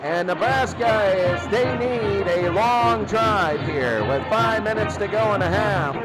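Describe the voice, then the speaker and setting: A man doing excitable play-by-play football commentary in a radio style, often repeating key phrases. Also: high voice, slow, over loud music, poor audio.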